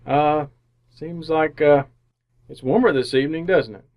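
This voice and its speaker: A man's voice: a little high, a little slow, muffled recording. A man acting in an old-time style radio drama as a bashful, folksy young married man who stammers and hesitates. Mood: awkward, shy.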